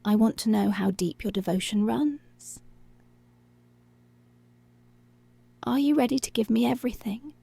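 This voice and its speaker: A woman playing a dominant, seductive policewoman in an ASMR roleplay, breathy and often whispering, slow, teasing and controlling, close to the microphone. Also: a little high, dark tone, no echo. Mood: intense, seductive.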